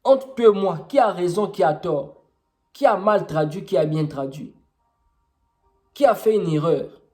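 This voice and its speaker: A young Congolese man speaks French with a Congolese accent, his voice fairly high yet soft and mellow. He explains things patiently, pausing on fillers and posing questions to his listeners, over faint background music. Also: lively intonation. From Congo-Brazzaville. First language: Kituba. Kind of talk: informal teaching testimony.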